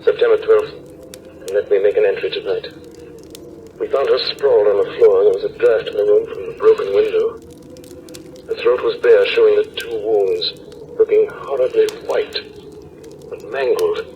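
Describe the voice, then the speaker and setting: A low-voiced, earnest doctor played by a man narrates a gothic diary in 1930s radio style. The delivery is dramatic and deliberate, heavy with dread. The old broadcast is muffled, with some background noise. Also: steady tone, warm tone, natural voice. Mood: grave, uneasy. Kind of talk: 1930s radio horror acting.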